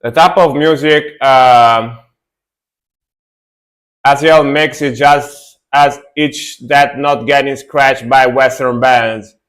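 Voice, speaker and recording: A young adult man casually chatting with his stream chat while reacting to metal music, relaxed and talkative. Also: medium pitch, takes it slowly. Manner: relaxed, chatty, answers chat, fillers and restarts.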